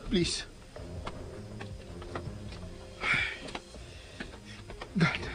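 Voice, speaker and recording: A man's voice: fairly high pitch, darkish tone, close to an everyday voice. An actor with a Filipino accent playing an anguished, impassioned man desperate to save a woman and her baby in a radio drama. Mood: prayerful, anguished. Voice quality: impassioned, emotional delivery. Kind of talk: Philippine radio drama acting. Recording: music behind the voice.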